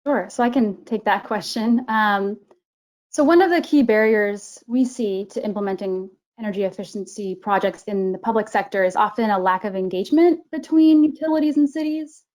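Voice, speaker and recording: Young female analyst, mid-pitched, orderly and clear. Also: normal speed, a little mellow. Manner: clear and organized, explaining step by step. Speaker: management analyst at a regional government council. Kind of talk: conversational interview answers.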